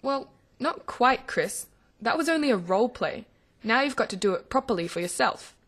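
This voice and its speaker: Radio drama role: kind, encouraging teen twin sister, bright-pitched and playful. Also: female voice, animated, normal speed. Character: teenage girl, kind, coaching her shy twin brother. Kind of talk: scripted radio drama dialogue.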